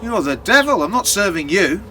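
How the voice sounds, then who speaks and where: A man voicing a wary, gruff innkeeper in a medieval folktale, suspicious and emphatic. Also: sits high, sing-song, moderate pace, sharp tone, a little hiss or hum, pitched above the performer's own voice, heavily acted, poor audio. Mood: suspicious, indignant. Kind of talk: storybook character voice in oral storytelling.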